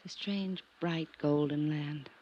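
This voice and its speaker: A woman's voice: low voice, fairly even intonation, a little slow, warm tone. An actress playing a lonely, wistful and guarded young woman in a 1950s radio drama. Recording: noisy, muffled 1950s radio recording.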